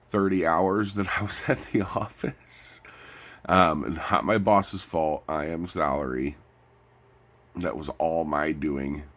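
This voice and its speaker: A man with a stuffy nose chats casually in a personal vlog, rambling and halting, often repeating his phrases. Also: low-pitched. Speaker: vlogger. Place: recorded lying down.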